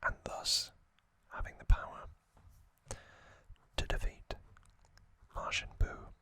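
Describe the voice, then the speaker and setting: A man hosting ASMR, whispering trivia narration in a breathy, unvoiced voice with soothing, deliberate short phrases. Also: pushed and bright. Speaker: ASMR host. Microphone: close microphone.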